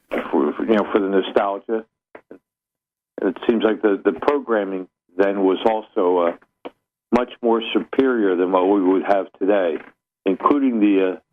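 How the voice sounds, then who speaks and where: A man's voice: deep voice, a little slow. A man from Pennsylvania phoning in to a radio show for a casual chat over a narrow phone line. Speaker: phone-in caller.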